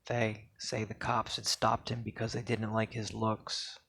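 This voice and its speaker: A man reads poetry aloud in a solemn spoken-word style, with deliberate, measured phrasing and pauses. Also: on the low side, completely flat delivery, gravelly, sharp tone, husky. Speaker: poetry reader.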